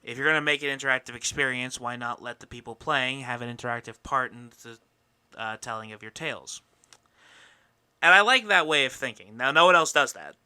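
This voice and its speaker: Bright, clear-voiced male podcast host. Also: mid-range voice, fairly quick. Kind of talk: casual opinionated podcast talk.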